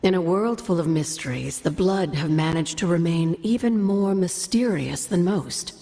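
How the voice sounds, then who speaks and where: An actress playing a grave teller of ancient mystical lore in a video game, speaking formally. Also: female voice, on the low side, normal speed, mellow, close to an everyday voice. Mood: solemn, mysterious. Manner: formal, expository. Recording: long reverberant echo over music.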